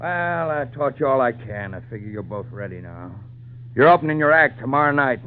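An actor playing a harsh, bullying circus riding master, barking orders and scolding in old radio drama style. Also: male voice, medium pitch, animated, fairly quick, close to an everyday voice. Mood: gruff. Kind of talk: 1940s-50s radio acting. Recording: tinny old radio recording with music.

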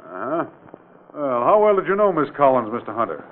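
An actor playing a brisk, authoritative state investigator with a formal, commanding manner in an old radio drama. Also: male voice, medium pitch, big pitch swings, close to an everyday voice. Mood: probing. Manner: formal, commanding interrogation with clipped questions. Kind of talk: 1940s-50s radio drama acting. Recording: tinny, noisy old radio recording.

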